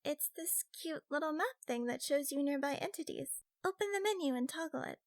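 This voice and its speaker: A woman's voice: high-pitched, lively intonation, brisk. Perky, teasing young fox girl, acted by a woman. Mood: cheerful. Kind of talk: audiobook character acting.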